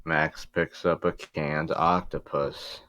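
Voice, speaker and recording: A man's voice: rumbling low, flat delivery, slow pace, pushed and bright, dry recording. A man reading stage directions aloud as narrator in an amateur table read.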